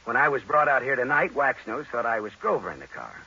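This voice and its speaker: An actor playing a tough, sardonic American private detective in 1940s radio crime drama style, with a needling, clipped delivery. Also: male voice, medium pitch, sing-song, quick pace, pushed and bright, slight background noise, full character voice. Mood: sardonic, taunting. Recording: muffled vintage radio recording with music.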